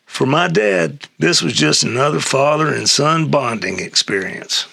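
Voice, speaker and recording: An older man reading a humorous memoir essay aloud in a halting way, with a gravelly, husky voice. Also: a little high, lively intonation, bright, forceful tone, no echo. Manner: halting read-aloud with stumbles and chuckles. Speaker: reader of an essay excerpt.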